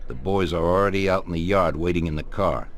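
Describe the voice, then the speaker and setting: A genial but commanding mob boss played by a man for a video game cutscene. His voice sits low and he talks in a measured, authoritative way. There is faint noise behind him. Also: close to an everyday voice. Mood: businesslike. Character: genial, commanding mob boss, male, middle-aged or older.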